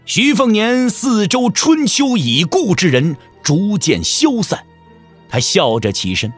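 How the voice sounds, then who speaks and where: A man's voice: high-pitched, big pitch swings, takes it slowly, husky, soft background music. A man narrating a martial-arts audio drama in a dramatic scripted style.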